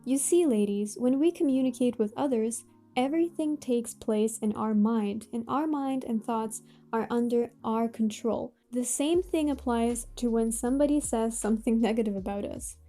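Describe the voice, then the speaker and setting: A woman with a Russian accent, a native Russian speaker, gives motivational advice straight to viewers in measured, deliberate phrases with emphatic pauses. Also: a little high, warm tone, soft background music. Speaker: host of a self-improvement channel.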